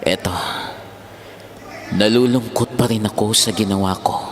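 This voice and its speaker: A Filipino man playing a sorrowful, repentant imprisoned father in a drama, with halting emotional delivery over music. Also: on the low side, steady tone, close to an everyday voice. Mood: remorseful, tender. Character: remorseful imprisoned husband and father, tender, sorrowful. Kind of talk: melodramatic audio drama acting.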